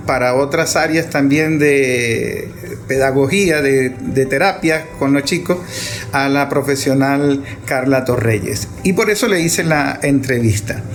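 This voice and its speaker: A middle-aged Venezuelan man, speaking as an interviewer and giving a personal testimonial to his audience. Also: on the high side. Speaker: journalist interviewer. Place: therapy consulting room.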